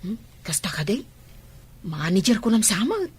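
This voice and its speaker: A woman's voice: mid-range voice, very animated, normal speed, breathy edge. A woman playing an inquisitive, gossipy office worker in an Ilocano radio drama. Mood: gossipy, curious.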